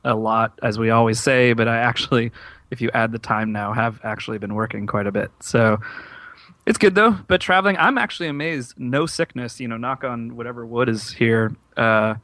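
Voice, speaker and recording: A worn-out man chatting on a podcast, voice of ordinary middle pitch. He rambles with fillers and restarts, recorded close and dry. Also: normal speed, a bit bright, no room sound. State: tired from travel. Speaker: podcast co-host. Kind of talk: casual chat.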